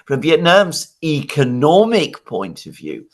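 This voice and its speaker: Animated Greek-born man, halting restarts. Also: fairly high pitch. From Greece. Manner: animated, halting restarts, laughs between points. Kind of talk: casual analytical discussion.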